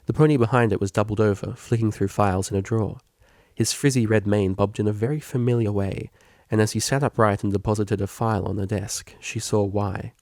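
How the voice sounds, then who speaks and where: Man narrating a story, creaky close voice. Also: lowish voice, steady tone, a little fast, a little mellow, no room sound. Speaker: audiobook reader. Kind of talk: scripted fan fiction narration.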